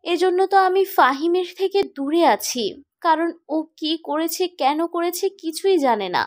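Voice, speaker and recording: A woman plays a wounded but stubborn young woman in a cartoon romance. The voice is high-pitched. The delivery is insistent and emotional. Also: normal speed. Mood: hurt, resolute. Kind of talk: animated romantic drama dialogue.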